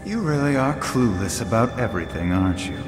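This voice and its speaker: An actor playing an arrogant, theatrical would-be god in a video game, with a taunting, grandiose delivery. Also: male voice, fairly low pitch, animated, brisk, close to an everyday voice. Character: arrogant, theatrical male villain craving godhood and world rule. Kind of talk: video game villain voice acting. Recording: echoey long reverb, music behind.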